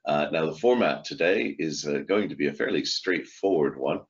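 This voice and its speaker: A very deep-voiced, middle-aged man hosts a webinar interview from his home office over a video call. He talks genially and chats along, with plenty of fillers. The call audio sounds dull and lo-fi. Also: expressive, normal speed. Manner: genial, chatty, with frequent fillers. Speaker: host. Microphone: video call microphone.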